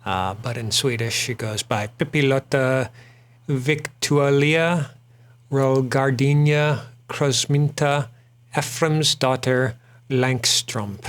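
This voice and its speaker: A man hosts a drawing show, talking while he sketches. His pitch sits in the middle, and he speaks slowly in a relaxed, rambling way, filling pauses with "uh". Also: clean tone. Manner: relaxed and rambling, frequent "uh" fillers. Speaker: artist and host.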